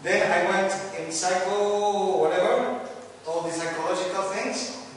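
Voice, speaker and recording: Middle-aged Argentine-accented lecturer, high for a man, halting and joking, heard from far off in an echoey hall. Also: slight background noise. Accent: Argentine Spanish. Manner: halting, self-correcting, joking with the audience. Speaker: lecturer and coach. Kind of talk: live lecture to an audience.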